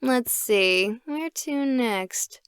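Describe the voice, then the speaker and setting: A woman voicing an excitable, jokey young ghost-hunting streamer in a horror visual novel, with a girlish, animated, theatrical delivery. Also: a little high, very slow, smooth, no echo. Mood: chatty, wry. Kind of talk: visual novel game voice acting, livestream narration.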